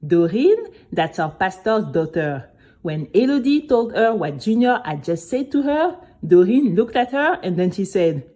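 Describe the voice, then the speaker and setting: A woman's voice: mid-range voice, a little slow. A woman playing a spirited young girl who recounts her adventure to friends in a chatty, dramatic first-person audio story. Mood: chatty, eager. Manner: animated, chatty, addresses listeners directly.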